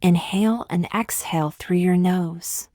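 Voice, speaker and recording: Low-pitched woman gently guiding meditation. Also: no room sound, clean studio sound. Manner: slow, gentle, measured phrasing with long pauses. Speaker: meditation guide. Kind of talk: scripted guided meditation.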